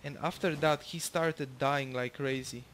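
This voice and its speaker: A man's voice: mid-range voice, fairly even intonation, measured pace, a bit bright, a little hiss or hum. A young man from Bulgaria with a Bulgarian accent, casually commentating his own match, rambling with lots of fillers and the odd swear.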